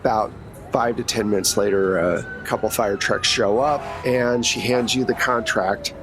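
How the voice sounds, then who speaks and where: A man's voice: on the low side, steady tone, moderate pace. Casual male game master, some background noise. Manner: casual, conversational. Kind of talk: improvised tabletop game narration.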